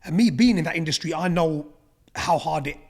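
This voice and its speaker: A man's voice: a little high, fairly quick, darkish tone. A young man with a London accent hosting a podcast, asking questions in friendly street slang and bantering with his guest. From Newham, East London. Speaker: podcast host. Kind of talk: casual podcast interview.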